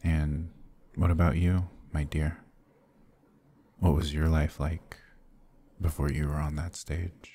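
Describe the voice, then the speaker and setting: A man playing a courteous, protective, brooding vampire lord in an intimate ASMR roleplay, speaking gently and formally with a husky, halting delivery. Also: very deep voice, flat delivery, slight background noise, exaggerated voice. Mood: gentle, sympathetic.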